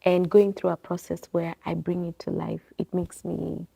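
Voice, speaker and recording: A woman's voice: fairly low pitch, little pitch movement, moderate pace, vocal fry, warm tone, breathy edge, rough recording. A woman, a bookstore owner being interviewed in her shop, talking in a reflective, rambling way that wanders and corrects itself. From Kenya. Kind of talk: casual interview conversation. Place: her bookstore and coffee bar.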